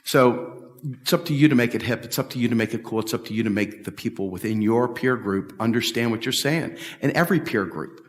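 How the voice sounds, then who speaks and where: Middle-aged man, emphatic public talk, medium pitch, long hall reverb. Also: brisk. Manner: emphatic, repetitive, talks with big hand gestures. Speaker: speaker at a policy event. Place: large bright hall with windows, standing before a stage table. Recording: echoey hall from far off.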